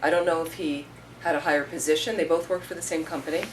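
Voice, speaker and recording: A woman with a low voice gives a relaxed teaching talk, chatting through stories and slipping into the voices of the people in them. She is heard from across the room on a distant mic, with a faint hum of room noise behind her. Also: fast. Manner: conversational, storytelling with acted-out quotes. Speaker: Buddhist teacher. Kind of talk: informal dharma talk.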